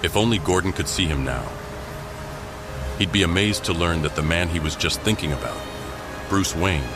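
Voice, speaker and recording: Deep-voiced male narrator over music. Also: normal speed. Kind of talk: scripted dramatic story narration.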